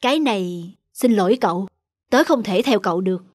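A man's voice: high voice, animated, fairly quick, no echo, clean studio sound. A man voicing a dedicated, modest doctor in a cartoon, earnest and apologetic but unbending. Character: dedicated, modest male hospital doctor, gently stubborn. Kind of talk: cartoon dub.

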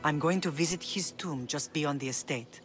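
A woman's voice: low voice, moderate pace, natural voice. Woman playing proud Spartan mother, music behind. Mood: reflective. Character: proud, dignified Spartan mother, middle-aged noblewoman. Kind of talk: dramatic video game acting.

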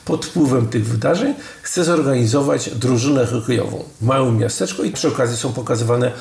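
A man co-hosting a culture podcast, talking wryly with halting restarts. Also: mid-range voice, gravelly, a little mellow. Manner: wry, ironic, halting restarts. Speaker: podcast co-host, culture critic. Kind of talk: casual conversation about films. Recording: echoey room.

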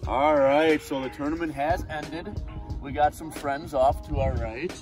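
A young man hosting a fishing vlog from a boat on a lake, relaxed and joking, laughing often. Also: on the high side, a little slow, music in the background. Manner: laid-back, laughs often, joking understatement. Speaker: fishing channel host. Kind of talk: casual outdoor vlog narration.

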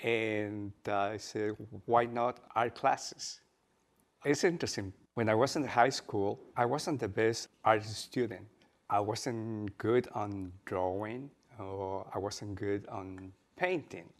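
Slow-spoken man from Chile. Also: fairly low pitch. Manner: halting, pauses with "uh", soft-spoken. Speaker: guest artist presenting his work. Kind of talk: slow, unhurried artist talk with fillers.

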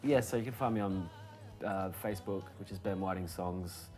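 Young man, very low voice, relaxed rambling chat, bar booth, faint music behind. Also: moderate pace. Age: young adult. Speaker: musician guest. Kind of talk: casual interview chat.

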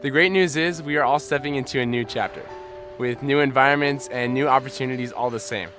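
A man's voice: medium pitch, fairly even intonation, brisk, a bit bright, soft background music. A young man giving an earnest, formal graduation speech to his fellow graduates in an outdoor setting. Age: young adult. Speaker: graduating student speaker. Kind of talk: prepared commencement speech. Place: backyard outdoors.

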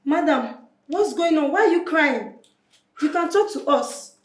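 An actress playing a policewoman gently coaxing a crying woman to talk. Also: sits high, lively intonation, measured pace, reverberant. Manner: coaxing, concerned questions.

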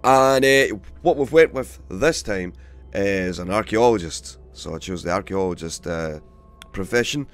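A man with a cold, hoarse and gravelly, casually commentating a game he plays and swearing freely. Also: sits low, animated, a little slow, faint music behind. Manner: swears freely, rambling and chatty. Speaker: let's play host.

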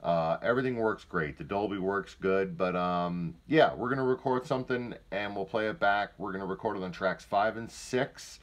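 A man's voice: fairly low pitch, normal speed, few pauses. A man giving a casual, plain-spoken product demonstration indoors, speaking informally from off camera.

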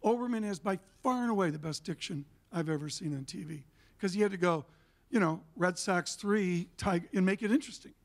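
Brief: older man, panelist, emphatic and animated, high-pitched, on a stage with a handheld mic. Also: fairly quick. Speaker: panelist, TV and media figure. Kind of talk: conference panel talk. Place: conference stage, indoors.

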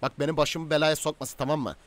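A man playing a commanding, stern gang boss in improvised game roleplay, domineering and quick to order and threaten. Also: fairly high pitch, steady tone, close to an everyday voice. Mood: angry, exasperated. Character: commanding male crime boss, stern and menacing.

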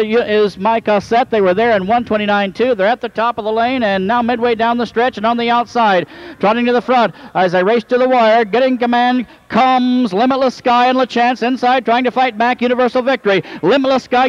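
High-pitched man calling a harness race. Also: dry recording, highs cut off. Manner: rapid rhythmic race calling, rising at the finish. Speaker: race announcer.

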